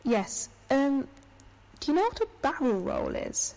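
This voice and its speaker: A woman's voice: high-pitched, wildly swooping pitch, measured pace, creaky, darkish tone, no echo, full character voice. Woman doing a brainy young space traveller girl, businesslike n exact, bit pert, sci-fi radio play. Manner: precise, businesslike, slightly pert. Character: brisk, logical young female space traveller and genius. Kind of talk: science fiction audio drama acting.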